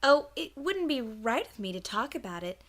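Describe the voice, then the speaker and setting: A woman's voice: fairly high pitch, big pitch swings, moderate pace, fairly bright tone. A woman voicing a playful, gossipy pony who talks in a coy, teasing way in a story reading. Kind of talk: audiobook character acting.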